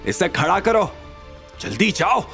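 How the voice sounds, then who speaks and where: A man's voice: high-pitched, very animated, slow pace, creaky, sharp tone, faint music behind, voice pushed up, full character voice. A man voicing an aggressive, loyal male henchman who barks his words in an audio drama. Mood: commanding. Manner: barking, commanding.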